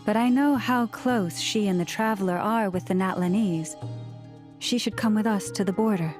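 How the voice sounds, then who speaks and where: A woman's voice: medium pitch, normal speed, over music, lightly acted. A woman playing an earnest, self-assured young woman in a video game, polite but firm. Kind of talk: video game dialogue acting.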